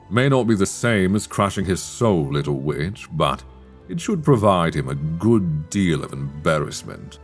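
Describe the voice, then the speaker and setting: A man acting a sly, teasing master of a magic academy in a dark fantasy ASMR roleplay, speaking in measured, wry, formal phrases. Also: low voice, gravelly, exaggerated voice. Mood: conspiratorial, sly.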